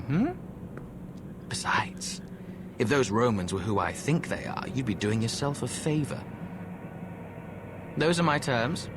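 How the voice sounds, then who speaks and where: An actor playing a suave, decadent ancient undead Egyptian fixer in a video game, droll and languid with mocking theatrical asides. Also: male voice, on the high side, noisy background. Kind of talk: video game cutscene acting.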